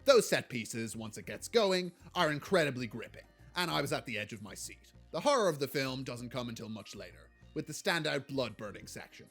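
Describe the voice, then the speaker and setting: A man giving a scripted video essay review as a film critic, in a measured, articulate and analytical way. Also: medium pitch, very animated, fairly bright tone. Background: background music.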